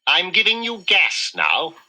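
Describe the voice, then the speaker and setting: A man voicing a polite, brave storybook mouse dentist, courteous and emphatic, pitched up high. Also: huge pitch swings, measured pace, bright, forceful tone, heavily acted, low-quality recording. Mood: professional, reassuring. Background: music.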